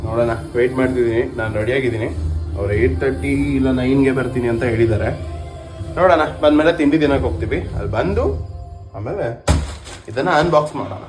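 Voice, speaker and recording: A man's voice: medium pitch, fast talker, music in the background. A man with a Karnataka Kannada accent chatting informally to viewers as a vlogger. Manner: informal, addressing viewers as guys. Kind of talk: casual vlog chat.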